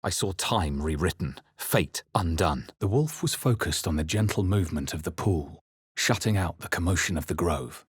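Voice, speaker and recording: A man playing a brooding fantasy hero who narrates his own adventure for a video game, solemn and deliberate, with a husky voice. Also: sits low, expressive, dry recording, clean studio sound, heavily acted. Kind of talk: scripted first-person game narration.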